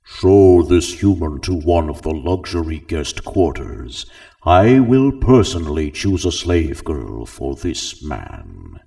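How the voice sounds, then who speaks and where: A man voicing a huge, arrogant male slug crime lord in a deep, slow, booming voice. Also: sing-song, creaky, warm tone, far from the mic, exaggerated voice. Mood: commanding.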